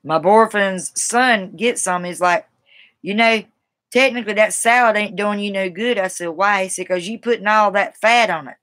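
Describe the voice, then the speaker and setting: A woman's voice: medium pitch, moderate pace, fairly bright tone. Southern lady from south carolina just rambling casually to her viewers on a webcam, folksy, middle aged. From South Carolina, United States. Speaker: livestream host. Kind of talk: casual chat, responding to viewers. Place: small room at home, indoors.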